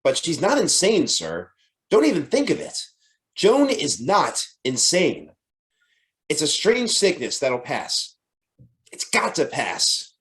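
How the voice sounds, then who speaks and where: An actor playing a distraught, earnest young man, agitated and halting, in old-time radio drama style. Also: on the high side, wildly swooping pitch, a bit bright, heavily acted. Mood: agitated, insistent. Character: distraught earnest young man in love, defending his sweetheart.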